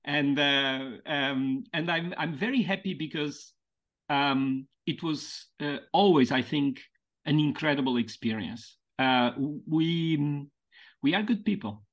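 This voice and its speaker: A man from Portugal with a Portuguese accent, a podcast guest speaking English as a second language in a thoughtful, halting way, stretching out key words. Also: on the high side, dull audio. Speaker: podcast guest, mentoring founder. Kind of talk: reflective conversational interview.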